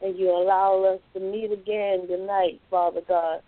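A woman caller praying aloud earnestly, with steady repeated address to God. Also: mid-range voice, moderate pace, no room sound. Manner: earnest, steady prayer phrasing. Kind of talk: spoken prayer. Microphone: phone call. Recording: tinny phone line.

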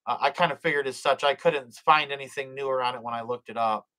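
A man's voice: medium pitch, steady tone, quick pace, pushed and bright. Chatty man hosting a faith live stream. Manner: reads chat aloud, blesses viewers, prays aloud; hesitant, many fillers and restarts. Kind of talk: casual live stream chat with prayers.

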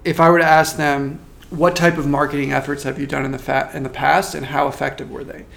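A man working as a marketing consultant, giving a measured, thoughtful interview answer with frequent restarts. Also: fairly high pitch, little pitch movement, gravelly, a bit bright. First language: not French.

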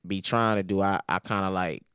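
A man in his twenties speaking as a podcast guest in relaxed, slangy conversation. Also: deep voice, a little fast, clean tone, dry recording, clean studio sound. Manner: laid-back slang, frequent "you know what I'm saying". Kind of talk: casual interview talk.

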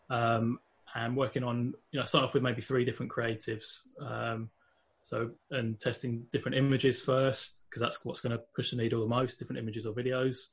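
A man with a London accent, a guest on a podcast, talking casually with many fillers and restarts. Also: medium pitch. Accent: London English. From England. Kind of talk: casual interview talk.

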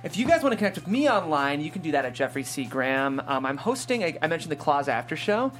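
Casual, enthusiastic male host with lively pitch. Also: fast, faint music behind. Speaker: host of a table-read show. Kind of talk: casual panel talk.

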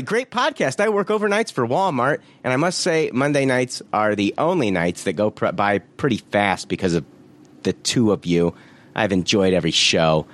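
A man's voice: mid-range voice, a bit bright. A man hosting a podcast, casually reading out listener reviews, laughing often and swearing offhandedly.

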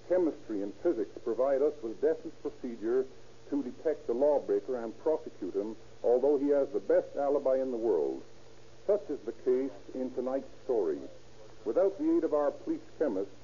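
American male police official, formal stiff read, very mellow tone, faintly noisy old radio. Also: mid-range voice. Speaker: police captain, guest speaker. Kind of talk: formal scripted radio address. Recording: muffled, noisy 1930s radio recording.

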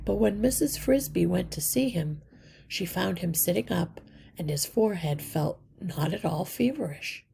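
A woman reading a children's story aloud as narrator, with careful, deliberate phrasing. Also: on the low side, lively intonation, gravelly, dark tone, slight background noise.

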